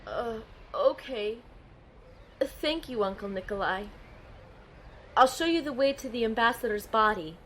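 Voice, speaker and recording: Casting: woman as young heroine-narrator for audio drama, earnest, lively high voice, noisy capture. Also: unhurried, long pauses. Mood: shaken, hesitant. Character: earnest young girl narrating her team's battle. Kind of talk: first-person audio drama narration and dialogue.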